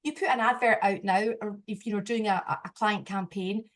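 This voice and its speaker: A woman's voice: mid-range voice, fairly even intonation, normal speed, a bit bright. A woman hosting a conversational interview, talking in an agreeable, discursive way. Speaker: interviewer and recruiter.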